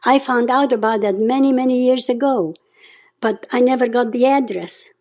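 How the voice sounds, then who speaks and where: A woman's voice: on the high side, moderate pace, darkish tone. A woman in her nineties from Czechoslovakia with a Hungarian-Czech accent, telling her memories in an oral history interview and often adding "okay?". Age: 90s. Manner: storytelling, often adds a questioning "okay?". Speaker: interview guest.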